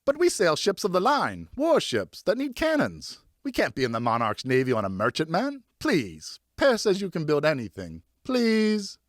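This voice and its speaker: An eager young boy sailor, played by a male narrator, high in pitch and excitable. He cajoles with adventurous zeal in a dry storybook recording. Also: moderate pace, few pauses, no room sound. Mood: pleading.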